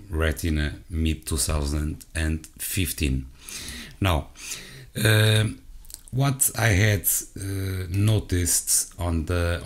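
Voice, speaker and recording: A man from Portugal with a Portuguese accent, hosting a software walkthrough in English as his second language, hesitant and full of self-corrections, talking straight to his viewers. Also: sits low. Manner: addresses viewers directly. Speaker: tech channel host. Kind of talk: screen-recorded software walkthrough.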